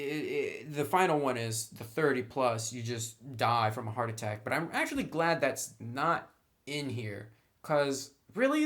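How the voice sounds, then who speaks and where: A man's voice: mid-range voice, a bit bright. A man giving a casual, conversational and opinionated review commentary, leaning on certain words for emphasis. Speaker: tabletop game reviewer.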